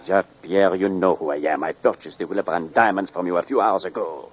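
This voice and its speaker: Husky, formal Frenchman, low-voiced. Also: fast. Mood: insistent. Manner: formal, stilted phrasing. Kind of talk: 1940s radio drama acting.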